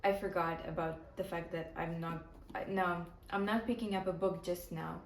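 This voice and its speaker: A woman's voice: fairly low pitch, steady tone, moderate pace, slight background noise. A young woman whose first language is Ukrainian, chatting casually about the books she is reading, thinking aloud with restarts. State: tired. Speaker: book vlogger. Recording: roomy, far from the mic, faint background ambience.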